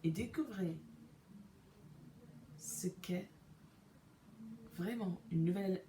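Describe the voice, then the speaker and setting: Woman, English-Canadian accent, reading in second language, hesitant, restarts words, low voice, roomy home recording. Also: mellow, a little hiss or hum. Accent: English-speaking Canadian. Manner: hesitant, pauses with euh, restarts mispronounced words. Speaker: podcaster reading a devotional. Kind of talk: slow careful reading aloud in a second language.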